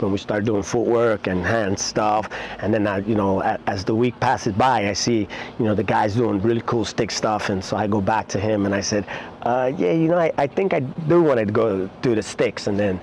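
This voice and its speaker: A middle-aged man, talking casually and haltingly in an interview as a martial arts instructor, with many pauses. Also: on the low side, a little mellow, a little hiss or hum. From Puerto Rico-born, raised in New York City.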